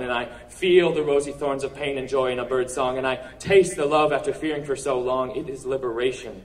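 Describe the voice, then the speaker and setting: A man performing spoken word poetry at a live open-mic event, with earnest, rhetorical delivery and deliberate pauses. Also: medium pitch, distant mic, rough recording. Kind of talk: poetry recital, slam-style spoken word.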